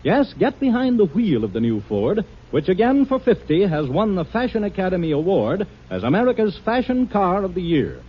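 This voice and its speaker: A man announcing a 1950s radio commercial with emphatic, polished salesmanship and a husky voice. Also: high-pitched, big pitch swings, normal speed, few pauses. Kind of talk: 1950s radio advertising read. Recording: muffled vintage radio recording.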